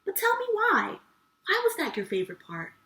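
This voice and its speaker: A woman's voice: very high-pitched, wildly swooping pitch, a little fast, bright, forceful tone. Lady kids show host, super swoopy voice, gushing n encouraging, echoey room a bit off the mic. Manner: effusive, encouraging. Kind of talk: chatty address to children. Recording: echoey room, distant microphone.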